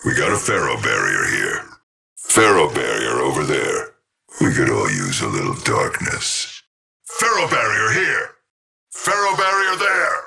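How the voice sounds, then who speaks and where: A man playing a menacing, gravel-voiced fighter in a video game, giving dramatic battle callouts. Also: extremely low pitch, wildly swooping pitch, unhurried, pushed and bright, full character voice. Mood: forceful shout.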